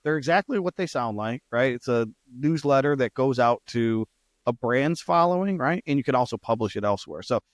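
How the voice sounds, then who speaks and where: Regular-pitched guy on a podcast, reflective, wraps things up, dry close mic. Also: animated, brisk, no echo. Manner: sums up, reflective and conversational. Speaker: podcast co-host. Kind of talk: casual marketing podcast chat.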